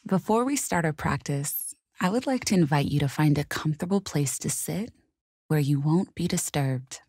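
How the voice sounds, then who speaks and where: A woman leading a guided meditation in a calm, gentle, soothing and measured way. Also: sits low, husky, no echo, clean studio sound.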